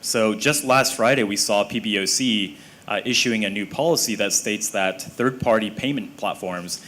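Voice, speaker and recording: Low-voiced man explaining on a panel. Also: a bit bright. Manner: measured, explanatory. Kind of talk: panel discussion.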